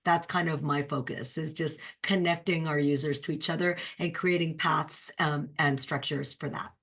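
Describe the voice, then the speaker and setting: A hoarse woman in her forties talks on a video call, her voice strained by laryngitis. Her voice is fairly low, and she speaks informally with small hesitations. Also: fairly even intonation, fairly bright tone. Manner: informal, a little halting. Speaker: community team lead. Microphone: computer mic on a video call.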